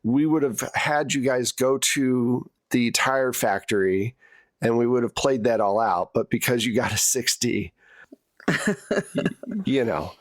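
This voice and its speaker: A man acting as game master, narrating a tabletop game in a halting, conversational way. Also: mid-range voice, dry recording. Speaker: game master, podcast host. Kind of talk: improvised tabletop game narration and casual chat.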